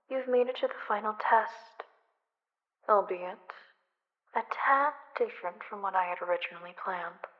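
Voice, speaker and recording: A woman playing a theatrical, obsessive female villain who taunts in a drawn-out, dramatic way in an audio roleplay. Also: medium pitch, muffled recording, natural voice.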